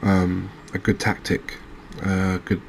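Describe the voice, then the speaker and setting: Low, very even-toned man commentating softly on gameplay. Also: slow pace, creaky, slight background noise. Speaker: host of a gaming channel playing a fishing game. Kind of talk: quiet ASMR gameplay commentary.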